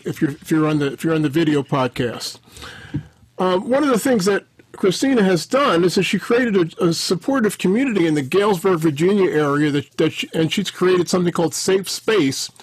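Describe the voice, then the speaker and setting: An older man hosts a podcast interview, speaking in a high, husky voice. His sound is dry and close, picked up by a headset. He rambles and restarts his sentences as he goes. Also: no room sound, low-quality recording. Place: home office with bookshelves. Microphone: headset on video call.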